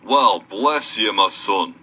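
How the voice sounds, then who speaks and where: A man's voice: lowish voice, lively intonation, slow, pushed and bright, heavily acted. A man playing a benevolent, pious reverend in an amateur audio drama, with a folksy, grateful manner. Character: benevolent pious male reverend, secretly a flirt.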